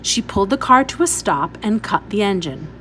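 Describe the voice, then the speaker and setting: A woman's voice: mid-range voice, very animated, a bit bright, a little hiss or hum. A woman reading a story aloud expressively, as a teacher would for pupils. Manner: instructive, pausing to point out details.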